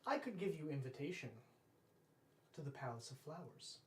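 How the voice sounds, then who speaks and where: A man in his thirties running a tabletop roleplay as game master, speaking formally and deliberately in character. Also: a little high, expressive. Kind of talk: tabletop roleplay narration, speaking as a fae ruler. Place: indoor room, live-streamed tabletop game. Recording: roomy, distant mic, faint noise.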